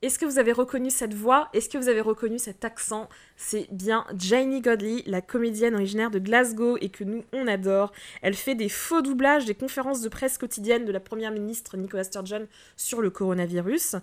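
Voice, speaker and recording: A woman co-hosting a podcast in casual chat, hesitant and filling pauses often. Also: on the high side. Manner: hesitant, many "euh" fillers. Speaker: podcast co-host.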